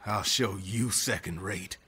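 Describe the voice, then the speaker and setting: An actor playing a cocky, threatening thug in a video game. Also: male voice, lowish voice, a little slow, bright, forceful tone, slightly rough, exaggerated voice. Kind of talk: dramatic video game acting.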